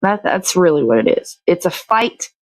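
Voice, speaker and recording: A woman's voice: lowish voice, big pitch swings, moderate pace, dry recording. A woman hosting a morning show in casual chat, talking in a blunt, sweary, rambling way.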